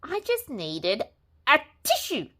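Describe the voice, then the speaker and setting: A woman's voice: high-pitched, huge pitch swings, slow pace, bright, forceful tone, voice pushed up, full character voice. A woman voicing a polite, sniffling male wolf with a bad cold in a storybook read-aloud. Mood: sniffly begging. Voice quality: stuffy, sniffling. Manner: polite pleading.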